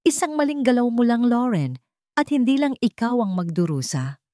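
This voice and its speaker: A woman's voice: a little high, sing-song, moderate pace, dark tone, no echo, clean studio sound, pitched above the performer's own voice. A woman with a Filipino accent voicing a controlling, scheming villain husband in an audiobook drama, commanding and threatening. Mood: cold threat.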